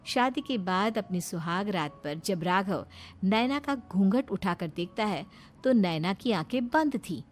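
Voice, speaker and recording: A woman's voice: medium pitch, lively intonation, unhurried. A woman narrating a cartoon story in a plain storytelling manner.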